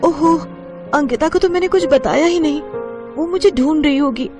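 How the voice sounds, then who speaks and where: A woman's voice: high-pitched, normal speed, music in the background, voice pushed up, natural voice. A woman voicing a poor, proud young student who speaks earnestly in a cartoon story. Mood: anxious. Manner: earnest, pleading.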